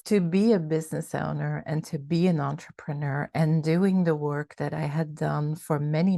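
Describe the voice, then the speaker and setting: A middle-aged woman from Belgium talks through her story in a measured, reflective way as an interview guest. Her voice sits very low and mellow, with a gravelly edge, and the recording is close and dry. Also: dry recording. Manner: reflective, measured, with many fillers and restarts. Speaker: guest, coach and founder. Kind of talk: casual interview talk.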